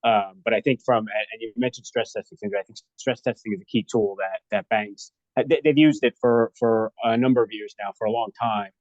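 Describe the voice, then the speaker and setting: A man's voice: lowish voice, steady tone, fairly quick, hardly any pauses, no echo. A man explaining his field conversationally as an expert guest in an interview. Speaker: guest, risk consultant and former regulator. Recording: dull, band-limited call audio.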